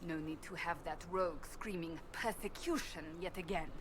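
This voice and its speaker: A woman's voice: on the low side, normal speed, natural voice. An actress playing a stern, short-tempered female warrior in a fantasy video game, speaking formally and severely. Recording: game audio with faint background noise.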